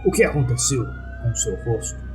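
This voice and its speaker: A Brazilian man voicing a calm, reassuring male paramedic who questions a patient in a horror story. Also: mid-range voice, normal speed, a little hiss or hum. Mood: concerned. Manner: reassuring, inquisitive.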